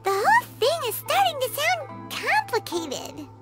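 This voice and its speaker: A woman voicing a tiny, excitable, curious floating sprite companion in a video game, with a squeaky childlike voice. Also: a little slow, exaggerated voice. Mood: puzzled. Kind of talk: video game character acting. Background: music.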